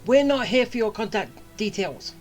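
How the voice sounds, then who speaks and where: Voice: medium pitch, lively intonation, normal speed, soft background music. A game streamer giving casual playthrough commentary, joking along and reacting to the dialogue.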